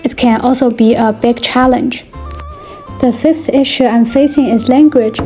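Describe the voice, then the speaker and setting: A young woman from China, a native Chinese speaker, narrates her vlog in a calm, measured way. Her voice sits a little high but sounds mellow and warm. Soft music plays quietly underneath. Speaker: vlogger.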